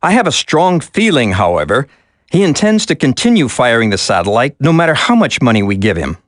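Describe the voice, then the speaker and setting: An actor playing an earnest, concerned government aide in a video game, formal and deferential. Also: male voice, medium pitch, big pitch swings, a little fast, no room sound, studio quality, natural voice. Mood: concerned report.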